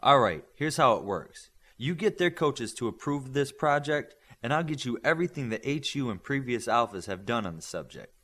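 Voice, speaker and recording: Man reading as firm, animated superhero team coach. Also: mid-range voice, normal speed, few pauses. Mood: brisk, commanding. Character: brisk, skeptical, authoritative male coach of young superheroes.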